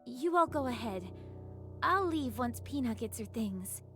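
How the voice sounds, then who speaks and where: Dorothy, high-voiced gentle squad leader, over music. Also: female voice, expressive, unhurried. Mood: calm, resolute. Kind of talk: dramatic video game voice acting. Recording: game dialogue over clear background music.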